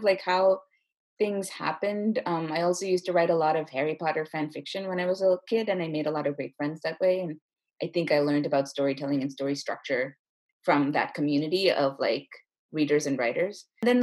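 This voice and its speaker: A woman's voice: sits low, a little mellow. A woman in her thirties from India, telling her story casually and chattily as an interview guest. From Chennai, India. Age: 30s. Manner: informal, chatty, often says "like".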